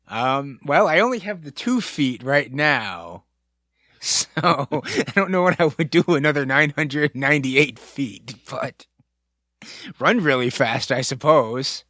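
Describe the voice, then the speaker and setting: A man's voice: mid-range voice, expressive, slow pace, sharp tone, dry recording, clean studio sound. A man trading wry, joking banter on a casual comedy podcast. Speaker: podcast co-host or guest.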